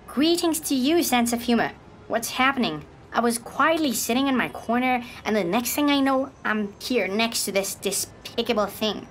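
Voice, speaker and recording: A motherly good side of the mind soothes and comforts with tender, affectionate words, as a woman acts her out. Her voice is fairly high and gentle. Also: expressive, moderate pace, a little hiss or hum, lightly acted. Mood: puzzled. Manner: soothing, affectionate, calls others darling.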